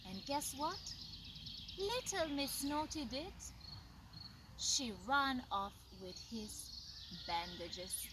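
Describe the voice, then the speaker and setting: A woman reads a children's bedtime story at a very slow, expressive pace. Her voice is on the high side and sounds set back from the mic, with loud chirping insects and birds all around. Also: noisy background. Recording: distant mic, loud insect and bird ambience.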